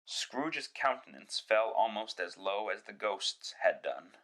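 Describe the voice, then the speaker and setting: A man reads dramatic narration in a fairly low voice with a bright, forceful edge. His delivery is measured and literary, in the manner of a classic storyteller. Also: big pitch swings.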